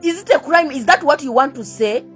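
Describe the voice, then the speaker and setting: A woman's voice: high-pitched, lively intonation, fairly quick, faint music behind. A young Ugandan woman with a Ugandan accent in a heated rant to camera. She keeps firing the same rhetorical questions, swears at her critics and switches between two languages. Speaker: vlogger.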